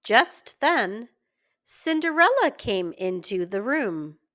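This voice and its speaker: A woman reading a scripted story aloud for young children in a deliberate, expressive way. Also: a little high. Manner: slow, deliberate, expressive delivery. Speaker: children's story reader and teacher.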